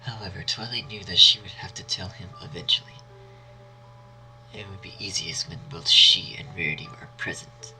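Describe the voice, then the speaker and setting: A man narrating a scripted dark fan story in a dramatic storytelling style. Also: low-pitched, fairly even intonation, moderate pace, low-quality recording. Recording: faint music behind.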